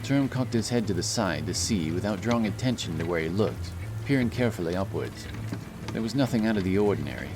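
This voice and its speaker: A man narrating a fantasy audiobook in a solemn, measured storytelling style. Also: low voice, lively intonation, background noise. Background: music and rain sound effects.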